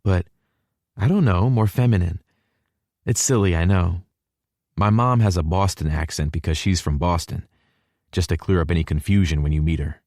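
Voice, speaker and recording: A man's voice: sits low, normal speed, pauses often, darkish tone, no echo, studio quality, lightly acted. A man voicing a nervous, flustered young woman. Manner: anxious, flustered.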